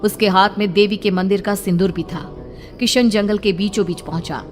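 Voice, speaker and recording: A woman's voice: mid-range voice, steady tone, moderate pace. A woman narrator reading a scripted horror story in a dramatic style.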